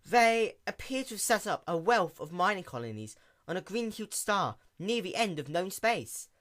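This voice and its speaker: A woman's voice: mid-range voice, very animated, moderate pace, pitched above the performer's own voice, natural voice. A woman voicing a nerdy young female sea pony mechanic who explains things plainly in a story reading. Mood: explaining, incredulous.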